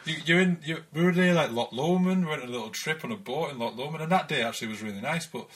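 A man from Oldham in Manchester, co-hosting a relaxed, friendly podcast chat. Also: fairly high pitch, fast. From Oldham, Manchester, England. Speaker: podcast co-host. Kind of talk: casual chat. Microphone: video call.

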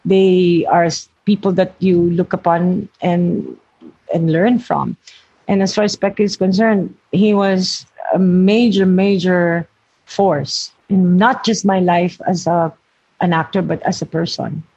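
Husky older woman reflecting. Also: fairly low pitch, fairly even intonation, unhurried, mellow, highs cut off. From Philippines. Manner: earnest, searching for words, self-correcting. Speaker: actress, interview guest. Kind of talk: reflective interview talk.

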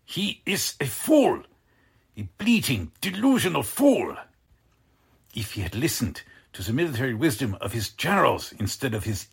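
Radio drama role: imperious spymaster, husky edge, highly animated pitch. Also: male voice, exaggerated voice. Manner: imperious, theatrical, deliberate. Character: haughty, scheming German military officer and Nazi spymaster. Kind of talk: 1940s radio drama acting.

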